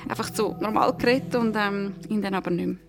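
A woman's voice: medium pitch, fast, over music. A woman with a Swiss German accent telling anecdotes casually as a podcast co-host, informal and giggly.

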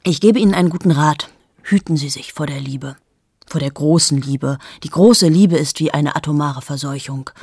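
A woman's voice: moderate pace, a little mellow, no room sound, studio quality. An actress in her thirties playing a cold, cynical journalist in a radio play monologue, confiding and often dropping to a bitter whisper. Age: 30s. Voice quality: husky, gravelly low voice.

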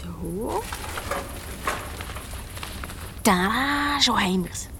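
A woman playing a bold young girl detective in a children's radio play, pert and quick to retort. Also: mid-range voice, animated, creaky, noisy background. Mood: urgent.

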